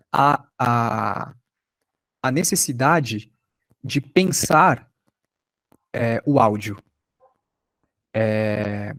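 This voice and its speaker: A man's voice: medium pitch, dry recording. A man with a Brazilian accent giving an informal online podcast workshop lecture in an explanatory, conversational way. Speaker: teacher, podcast maker.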